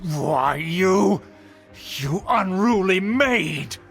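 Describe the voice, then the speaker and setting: A haughty aristocrat uncle from a video game, scheming and vengeful. His voice sits high for a man and moves widely in pitch as he declaims with theatrical pomp. Faint music plays underneath. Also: animated, extremely slow, gravelly, a bit bright, slightly rough, heavily acted. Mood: outraged, commanding. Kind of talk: video game character acting. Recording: music behind the voice.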